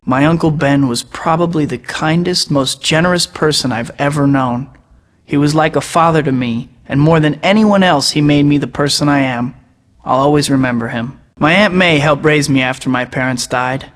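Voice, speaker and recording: Guy playing a wisecracking young superhero, bright and sharp sounding, game clips with a long echo tail. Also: fairly high pitch, normal speed, lightly acted. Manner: quippy, sarcastic banter. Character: wisecracking young male web-slinging hero. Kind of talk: scripted game voice acting.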